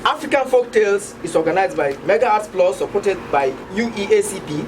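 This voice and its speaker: A Nigerian woman delivers a prepared introduction in a formal presenting role. She sounds a little away from the microphone, with clear room noise around her. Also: medium pitch, animated, a little fast. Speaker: project presenter. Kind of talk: scripted introduction speech. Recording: noisy room, distant microphone.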